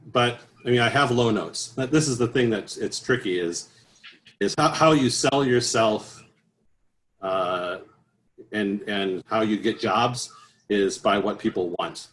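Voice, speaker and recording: Middle-aged male singer, lowish voice, conversational and rambling, slight background noise. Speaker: interview guest, professional choral bass singer. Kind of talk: casual interview talk.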